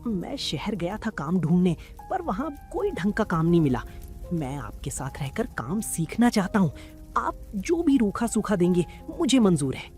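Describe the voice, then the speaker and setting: A woman voicing an eager, obedient young village man, polite and earnest, in an animated story. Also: fairly low pitch, normal speed, faint music behind. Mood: respectful, eager. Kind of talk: Hindi animated story dialogue.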